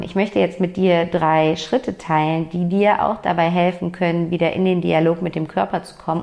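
Calm, slightly hoarse woman with vocal fry. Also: fairly low pitch. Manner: calm, gentle, addresses the listener directly. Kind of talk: reflective self-help monologue.

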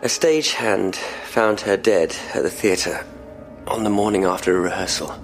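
An actor playing a distraught, sleepless police constable in an audio drama, speaking haltingly and earnestly. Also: male voice, low-pitched, soft background music. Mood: grim, haunted.

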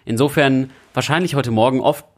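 A man's voice: medium pitch, brisk. Young man bantering playfully on a podcast. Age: young adult. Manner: playful, self-ironic. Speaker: podcast co-host. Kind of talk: casual chat.

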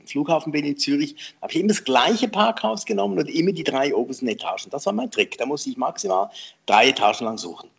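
A man, a memory trainer telling lively, self-deprecating anecdotes in an interview and laughing often. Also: on the high side, quick pace, vocal fry, husky. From Switzerland. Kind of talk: conversational storytelling interview.

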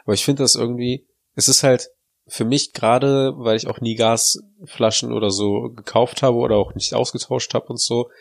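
A man's voice: medium pitch, vocal fry. A man co-hosting a podcast, talking with a stuffy nose that makes him sound nasal, rambling and joking in casual banter. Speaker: podcast co-host.

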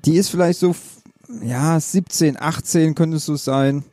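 A man's voice: fairly high pitch, steady tone, a little slow, warm tone. A man co-hosting a casual podcast, retelling a series plot in a rambling way with many restarts. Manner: rambling, self-correcting retelling. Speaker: podcast co-host reviewing series. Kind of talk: casual chat.

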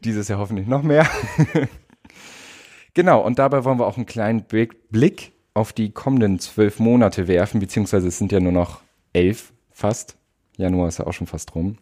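Brief: young male podcast host, lower voice, relaxed, a little hesitant. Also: moderate pace. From Wesermarsch, northern Germany. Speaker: podcast host, youth association chair. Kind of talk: casual chat.

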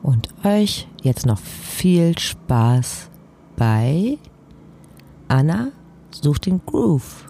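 A man's voice: a little high, sing-song, extremely slow, gravelly, mellow, slight background noise. A man hosting a podcast in a casual, conversational style. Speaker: podcast host.

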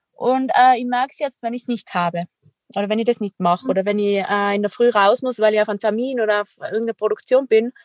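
A woman's voice: fairly high pitch, dry recording. A young woman with a Tyrolean accent, chatting casually as a podcast guest, upbeat and full of little fillers and laughs. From Tyrol, Austria. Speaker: guest, extreme athlete and entrepreneur. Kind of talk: casual interview chat.

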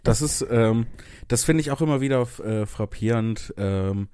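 A man's voice: medium pitch, measured pace, warm tone, smooth, no echo, clean studio sound. A young man co-hosting a podcast, chatting casually with wry jokes and hesitant fillers. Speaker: podcast co-host. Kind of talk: casual chat.

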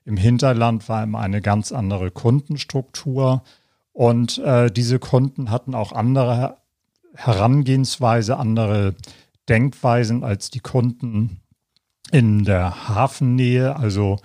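A man in his fifties from northern Germany, hosting a podcast and telling his own story in a relaxed, reflective way, with many pauses and fillers, seated in an armchair. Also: mid-range voice, no echo, studio quality. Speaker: podcast host. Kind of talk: casual personal storytelling.

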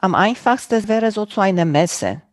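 A woman's voice: mid-range voice, animated, moderate pace, no room sound, clean studio sound. A woman with a Romanian accent who speaks German as a second language, chatting casually in an interview about her podcast, in loose sentences that search for words. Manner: loose, searching sentences with non-native grammar. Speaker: podcast host, guest on another podcast.

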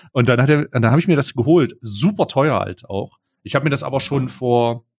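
An adult man co-hosting a podcast in casual chat, asking slow, drawn-out questions and laughing easily. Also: mid-range voice, animated, noisy background. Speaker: podcast co-host.

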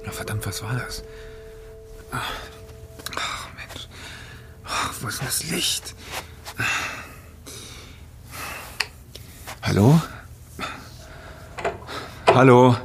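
A man's voice: fairly low pitch, animated, normal speed, long pauses, noisy background. An uneasy, hesitant man. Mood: alarmed, irritated. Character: uneasy man on a weekend trip with friends. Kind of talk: naturalistic audio drama acting.

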